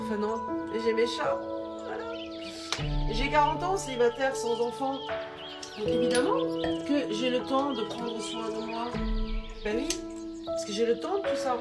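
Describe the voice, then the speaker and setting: Female, 40s, higher register, emphatic singsong delivery, casual creator monologue with loud music underneath. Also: lively intonation, moderate pace. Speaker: content creator talking about single life. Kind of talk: casual repeated-phrase monologue.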